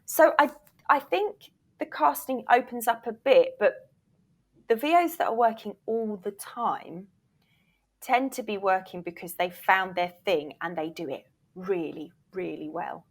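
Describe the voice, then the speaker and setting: A woman's voice: medium pitch, measured pace. Woman, industry expert being interviewed. Casual, encouraging advice delivered with emphasis. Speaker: interview guest, voiceover reel producer and former agent.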